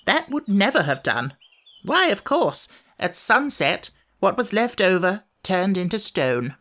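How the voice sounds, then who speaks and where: A woman's voice: mid-range voice, very animated, a little slow, fairly bright tone. A peevish, ancient sand fairy from a children's storybook, played by a woman. The creature is fussy and sorry for itself, spinning out old memories in a gloomy, grumbling manner. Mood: matter-of-fact. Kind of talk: children's audiobook character voice.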